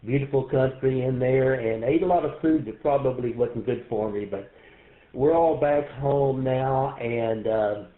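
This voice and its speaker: Slow Texan man on a radio link. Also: medium pitch. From Texas. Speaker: radio amateur. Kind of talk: casual on-air chat over radio net. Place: indoors in a radio shack. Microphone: radio station microphone in home shack. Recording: roomy, phone-like radio audio.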